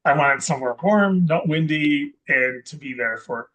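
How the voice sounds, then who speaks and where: A man from Scotland, a guest investor chatting in an interview, rambling and correcting himself with many pauses and restarts. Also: on the high side, a bit bright. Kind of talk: casual interview chat.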